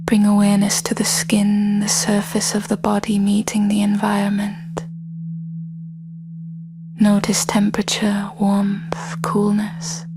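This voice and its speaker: A woman with a soft, breathy, hushed voice leading a scripted guided body scan meditation in short phrases with long pauses. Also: mid-range voice, completely flat delivery, noisy background.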